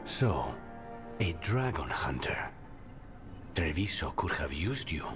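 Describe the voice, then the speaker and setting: A man's voice: rumbling low, very animated, slow pace, echoey room, over music, exaggerated voice. A man voicing a grave, brooding, vengeful assassin in a video game, speaking tersely. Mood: bitter, subdued.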